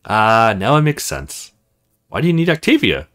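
A man's voice: mid-range voice, sing-song, moderate pace, fairly bright tone. A man voicing a frank, chatty young female agent in a visual novel, with a teasing, conversational manner. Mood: skeptical.

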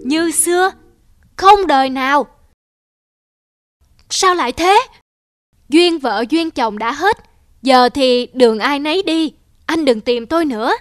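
A woman's voice: sits high, lively intonation, takes it slowly, pauses often, dry recording, clean studio sound. A woman voicing a vain, cold young wife in a cartoon fairy tale, curt and haughty. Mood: cold, scornful.

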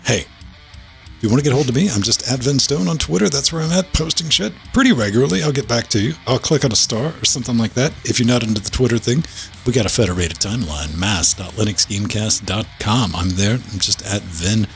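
A man hosting a podcast in casual, wry, deadpan chat with a bit of profanity, speaking into a studio mic in a home studio. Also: mid-range voice, brisk, creaky, soft background music. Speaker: podcast host.

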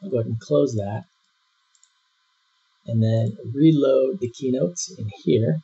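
A man giving a screen-recorded software tutorial, walking through each step in a relaxed way with frequent fillers. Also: mid-range voice, a little slow, slightly rough. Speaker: software instructor. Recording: dull lo-fi voice-over.

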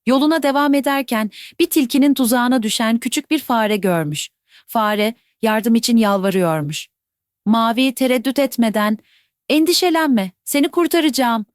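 A woman telling a children's bedtime fairy tale in a gentle, even storytelling voice. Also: a little high, moderate pace, no echo, studio quality.

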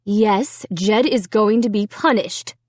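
A woman's voice: mid-range voice, slow pace, no echo. A woman voicing a stern mother who scolds her children firmly and sharply in a storybook. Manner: firm, sharp, commanding.

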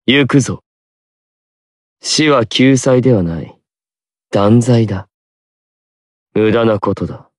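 Slow, haughty young underworld god. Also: male voice, medium pitch, lively intonation, no echo, clean studio sound. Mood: cold, contemptuous. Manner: slow, grand, disdainful pronouncements. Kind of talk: anime battle game voice lines.

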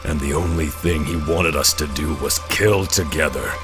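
A man's voice: extremely low pitch, moderate pace. An actor playing a stern, grim middle-aged vigilante father, terse and commanding in a comic-book dub. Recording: loud music behind. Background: dramatic music.

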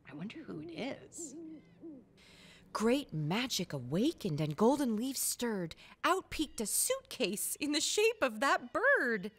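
A woman's voice: fairly high pitch, big pitch swings, takes it slowly, fairly bright tone. A woman in her thirties reading a children's storybook aloud expressively, with playful, emphatic asides for young listeners.

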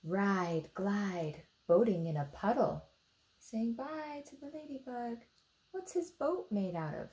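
Animated American woman reading to kids. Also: mid-range voice, slow. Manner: expressive, simple questions addressed to young listeners. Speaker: storytime reader for children. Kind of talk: animated children's picture book read-aloud with asides. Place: indoor room beside a book display.

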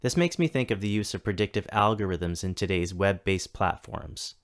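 A man in his thirties giving a scripted keynote as a school technology coordinator, reading at a measured, deliberate pace with pauses between items. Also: deep voice. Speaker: keynote speaker, tech coordinator. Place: home or office, recorded for a virtual conference.

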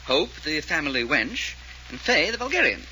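A polite gentleman sidekick, lively. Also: fairly high pitch, normal speed, full character voice. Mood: droll. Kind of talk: old-time radio mystery acting. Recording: very noisy old radio recording.

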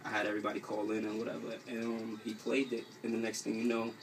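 Young guy w a new york accent, gravelly, slangy casual chat, kinda far from the mic. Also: fairly low pitch, completely flat delivery, fast talker. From New York City, US. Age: young adult. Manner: slangy, informal. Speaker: rapper, interview guest. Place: small radio studio. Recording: off-mic with faint noise.

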